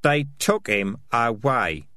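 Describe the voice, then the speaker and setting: A scrappy male rat fighter acted by a man in a storybook reading, pitched up and hard-edged. He is blunt, snappish and suspicious, fiercely loyal to his clan. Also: animated, slow, pushed and bright, no room sound, studio quality. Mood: urgent.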